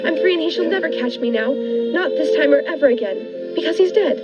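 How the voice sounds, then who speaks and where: A woman's voice: high-pitched, animated, brisk. A woman playing a frightened, unhinged woman who is nervously appeasing yet secretly cunning, in the style of a 1940s radio thriller. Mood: manic, gloating. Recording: muffled lo-fi recording with music behind.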